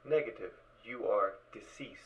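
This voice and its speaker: A man voicing an emotionless suit computer in an audio drama, clipped, formal and deadpan, giving literal status reports. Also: fairly low pitch, normal speed, creaky, rough recording. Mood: flat, matter-of-fact. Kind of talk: fan audio drama acting.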